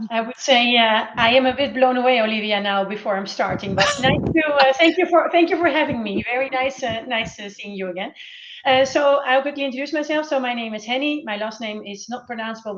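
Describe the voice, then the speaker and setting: Dutch-accented woman in her forties, halting. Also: a little high, a bit bright, dull audio. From Netherlands. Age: 40s. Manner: halting, many restarts and fillers. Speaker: panel guest, pharma executive. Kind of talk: casual panel introduction.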